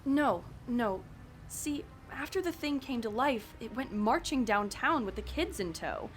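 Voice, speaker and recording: An actress in an audio drama playing an uneasy, incredulous school staffer who breaks bad news hesitantly and earnestly. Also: female voice, fairly high pitch, expressive, unhurried, a little hiss or hum.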